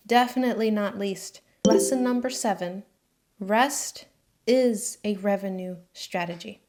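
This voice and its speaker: A woman's voice: medium pitch, a little mellow. Chatty American woman in her twenties. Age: 20s. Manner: chatty and candid, slangy asides. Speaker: freelance designer. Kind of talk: casual talking-head vlog.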